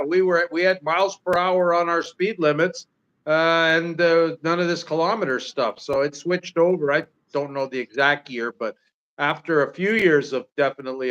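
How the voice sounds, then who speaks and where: A man's voice: on the high side, steady tone, moderate pace, fairly bright tone, muffled recording. A man in his sixties from Canada, chatting casually as livestream co-host, rambling with many fillers and restarts. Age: 60s. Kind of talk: casual chat.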